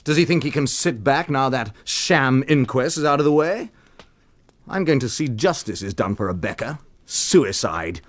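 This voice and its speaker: Radio drama: male actor for cocky drunken cad and blackmailer, taunting, oily familiarity, very lively pitch movement. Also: moderate pace, lightly acted. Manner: taunting, familiar, theatrically insinuating.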